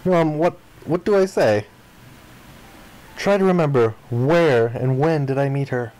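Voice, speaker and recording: A man reading the narration and dialogue of a visual novel aloud in an expressive style. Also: a little high, a little slow, low-quality recording.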